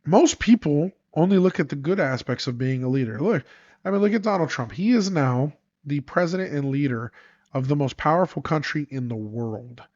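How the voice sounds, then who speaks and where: A man's voice: on the high side, expressive, normal speed, a little mellow, no echo. A congested man hosting a solo podcast, talking in an emphatic, sarcastic monologue full of rhetorical questions. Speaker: podcast host. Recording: dry, dull lo-fi sound.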